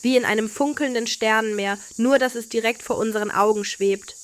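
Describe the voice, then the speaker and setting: A woman voicing a curious, gentle young girl full of wonder in a children's bedtime story. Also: fairly high pitch, fairly even intonation, a little fast, close to an everyday voice.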